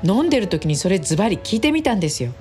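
A woman playing a chatty, teasing female office worker in a radio drama, expressive and gossipy. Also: mid-range voice, rapid-fire, faint music behind, natural voice.

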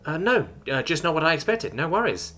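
A man's voice: a little high, big pitch swings, brisk, bright, forceful tone, pitched above the performer's own voice. A man voicing a blunt, roguish human woman spacefarer with a casual, cocky, sweary manner in a story. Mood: awkward.

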